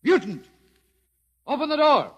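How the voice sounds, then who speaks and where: An actor playing a suspicious military lieutenant in a 1950s radio drama, curt, commanding and scornful. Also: male voice, very high-pitched, sing-song, unhurried, heavily acted. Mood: shouting orders. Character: hostile, suspicious military lieutenant, barking orders. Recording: old 1950s radio recording, long reverb.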